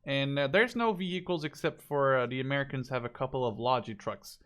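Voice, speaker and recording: Male game spectator-commentator, medium pitch, informal and off the cuff, talking through what he watches. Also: a little fast, fairly bright tone, clean tone. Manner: informal, thinking aloud, mildly profane. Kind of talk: casual unscripted gameplay commentary.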